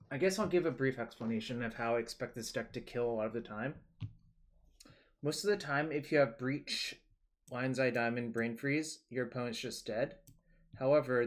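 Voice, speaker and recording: A young man casually talking through a card game on his stream, thinking aloud in a relaxed, rambling way. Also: medium pitch.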